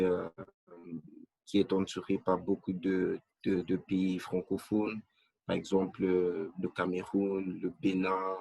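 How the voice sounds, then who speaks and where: A man's voice: sits low, a little mellow, slightly rough, no room sound. A young man from Nigeria, a podcast guest, talking hesitantly with many restarts and fillers. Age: young adult. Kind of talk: casual interview chat.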